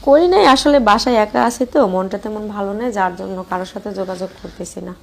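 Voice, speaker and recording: A woman's voice: mid-range voice, expressive, fast, darkish tone. A woman with a Bangladeshi accent playing a flirtatious, lonely married sister-in-law in an amateur romantic phone-call audio drama. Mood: teasing, wistful. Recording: clear background noise.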